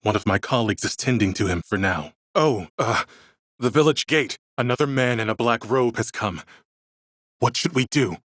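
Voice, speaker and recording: An actor playing a dutiful village gatekeeper in a video game, polite and watchful. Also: male voice, mid-range voice, expressive, normal speed, a bit bright, dry recording, clean studio sound. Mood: worried.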